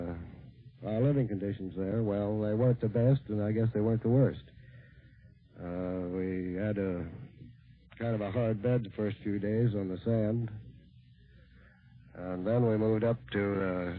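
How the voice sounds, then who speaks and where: Young male American serviceman, fairly low, shy halting homey chat, narrow old-radio sound. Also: steady tone. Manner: halting, self-conscious. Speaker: serviceman speaking to family on air. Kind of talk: casual family call. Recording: tinny band-limited 1940s radio broadcast.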